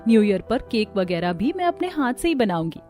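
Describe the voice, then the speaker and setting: A woman's voice: medium pitch, sing-song, a little fast, dark tone, lightly acted. A woman voicing a caring young housewife daughter-in-law in a cartoon story, speaking politely and earnestly, eager to explain herself. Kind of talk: Hindi cartoon story dialogue. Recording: music behind the voice.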